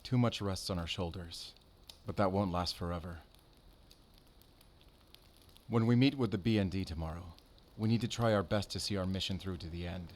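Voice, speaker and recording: A man playing a brooding, tender but guarded werewolf hunter in an intimate audio roleplay, speaking softly and haltingly. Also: low voice. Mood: conflicted, resolute. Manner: soft, halting, intimate, earnest delivery.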